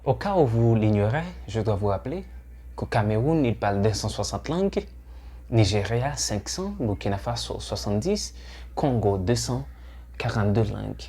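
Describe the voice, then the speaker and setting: A man's voice: on the low side, expressive, normal speed, mellow, breathy edge. A Haitian man whose first language is Haitian Creole, hosting his channel with an earnest, urging monologue full of hesitations. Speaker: channel host. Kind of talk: scripted monologue to camera.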